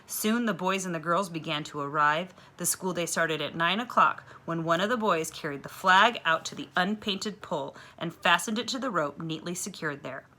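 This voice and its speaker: A woman reading a children's novel aloud with clear, expressive storytelling. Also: fairly low pitch, normal speed, few pauses.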